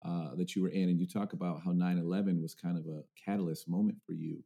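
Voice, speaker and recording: A man hosting an interview, asking questions in a relaxed, affirming way. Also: low-pitched, fast, vocal fry. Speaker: interviewer host. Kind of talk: interview conversation.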